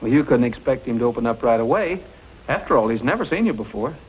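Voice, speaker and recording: Kindly western cowboy, lively intonation, old muffled film sound. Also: male voice, medium pitch, fast, husky. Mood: friendly, reassuring. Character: easygoing friendly cowboy, kind and reassuring. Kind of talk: 1940s western film acting. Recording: dull old film soundtrack, faint noise.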